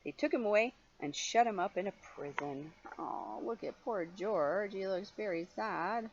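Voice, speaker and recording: A woman's voice: mid-range voice, moderate pace, dull audio, slight background noise. An older woman, a grandmother reading a children's story expressively, with chatty questions to her young listener.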